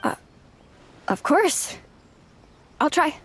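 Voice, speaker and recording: A woman's voice: drawn-out words, slight background noise. An actress playing a polite, hesitant, overworked young female office worker in a video game. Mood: relieved, surprised.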